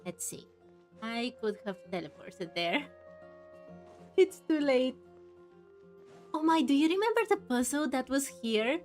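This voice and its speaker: A woman's voice: high-pitched, animated, measured pace, pauses often, a little mellow. A woman giving casual commentary as she plays through a game, chatty and musing aloud, with game music behind. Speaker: gaming streamer. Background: faint game music.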